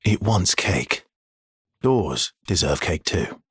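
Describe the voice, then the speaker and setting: A man playing a weary, guarded video game expeditioner, with a husky, breathy voice and an understated, measured, brooding delivery. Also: sits low, sing-song, a bit bright, no room sound, studio quality, heavily acted. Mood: dry, wry. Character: Verso, weary guarded male expeditioner with quiet regret. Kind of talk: video game voice lines, dramatic acting.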